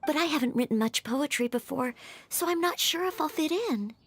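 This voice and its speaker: A woman's voice: high voice, animated, brisk, no room sound. A woman voicing an earnest young female character in a fantasy video game.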